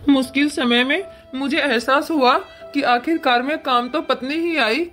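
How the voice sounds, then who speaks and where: A woman's voice: sits high, normal speed, fairly bright tone, faint music behind, pitched below the performer's own voice. A woman voicing a remorseful, ailing son and husband in a cartoon moral story, pleading plaintively and earnestly. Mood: apologetic, tender.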